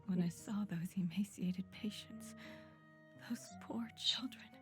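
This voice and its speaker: Woman acting a frail, slow-speaking young underling. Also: medium pitch, in a whisper, soft background music, lightly acted. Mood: weak, remorseful. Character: frail, devoted young subordinate, weak and remorseful. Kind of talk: scripted game trailer acting.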